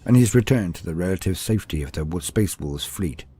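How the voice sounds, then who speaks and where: A man's voice: sits low, animated, fairly quick, dark tone, breathy edge. A man reading scripted fantasy lore as a narrator, in a theatrical, formal storytelling style.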